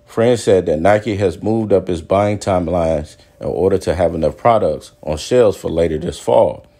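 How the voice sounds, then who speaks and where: A man's voice: low-pitched, normal speed, mellow. A man presenting company earnings news, commenting informally and reading financial reports aloud.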